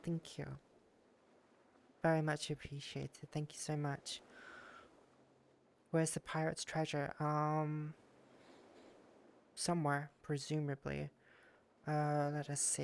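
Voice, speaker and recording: A man's voice: on the high side, flat delivery, measured pace, gravelly, long pauses, a little hiss or hum. A man streaming a casual game session, rambling and thanking viewers, sounding stuffy from a cold. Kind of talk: casual streaming chat while exploring a game world.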